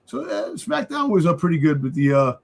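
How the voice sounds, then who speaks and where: A man with a slightly high voice chats as a panelist on a sports fan show. He banters casually and repeats phrases to press his point. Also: big pitch swings, fairly quick, gravelly, a little mellow.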